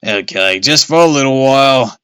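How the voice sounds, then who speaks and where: Frantic stammering dad yelling about his car, way up high and loud, man doing it. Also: lively intonation, normal speed, clear, resonant tone, dry recording, studio quality. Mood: upset. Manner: sputtering, shrieking, stammering. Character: panicked frantic middle-aged father, hot-tempered.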